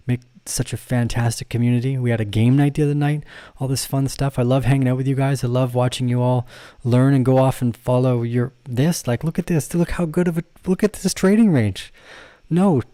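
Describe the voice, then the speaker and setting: A man hosts a casual trading livestream, talking through charts in a loose, rambling way. He often corrects himself mid-sentence and laughs along with his audience. His voice is mellow and relaxed, recorded dry with no room sound. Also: medium pitch, creaky, dry recording. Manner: addresses viewers and reads their comments. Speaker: crypto trading channel host.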